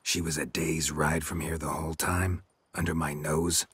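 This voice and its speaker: Super deep gruff monster slayer, sardonic deadpan, clipped game dialogue, bit of background noise. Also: male voice, moderate pace. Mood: sardonic, stern. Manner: terse, deadpan. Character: laconic, sardonic, hardened male monster hunter. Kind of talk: video game dialogue acting.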